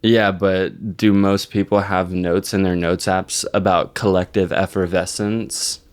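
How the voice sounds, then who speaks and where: A man's voice: low-pitched, flat delivery, a little slow, clean tone. A young adult man co-hosting a podcast in casual comedic banter, rambling in a mock-serious way. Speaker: podcast co-host.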